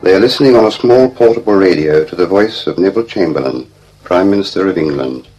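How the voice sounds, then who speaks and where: A man reading scripted narration for a vintage radio program in a formal, measured manner. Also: low voice, expressive, darkish tone, low-quality recording. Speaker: author and narrator. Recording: vintage radio with music behind.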